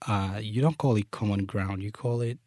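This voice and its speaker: Low-voiced man, relaxed. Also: normal speed, no echo, clean studio sound. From Uganda. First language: Luganda. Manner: relaxed, many fillers like "like" and "you know". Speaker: guest, software developer. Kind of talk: casual podcast interview.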